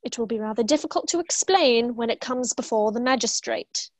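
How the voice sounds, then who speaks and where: A young woman playing a prim, patient, dutiful secretary with formal, measured delivery in a play reading. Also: on the high side, lively intonation, a little mellow, no echo, natural voice. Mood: patiently cautioning. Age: young adult. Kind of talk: staged play reading over video call. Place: room at home, video call. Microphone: wired earphone microphone.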